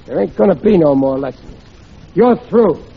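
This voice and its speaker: An actor playing a blustering, jealous American working-class husband and father in radio comedy, speaking bluntly and indignantly in rough grammar. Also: a little high, lively intonation, moderate pace, full character voice. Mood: angry. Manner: blunt, indignant, ungrammatical speech. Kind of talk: old-time radio situation comedy acting. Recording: dull, noisy vintage radio recording.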